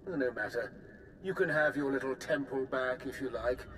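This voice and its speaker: A man's voice: mid-range voice, moderate pace, a bit bright, slight background noise, close to an everyday voice, low-quality recording. Man acting smug, sneering haughty villain. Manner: sneering, condescending. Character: smug, haughty male villain toying with foes. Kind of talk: video game villain acting.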